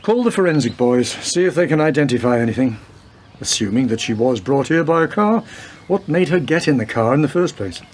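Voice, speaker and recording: A man's voice: medium pitch, animated, a little fast, a little hiss or hum, lightly acted. A man playing a senior police detective, dry and world-weary, asking terse questions in a radio play. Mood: businesslike. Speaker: actor in a community radio play. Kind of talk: radio drama dialogue.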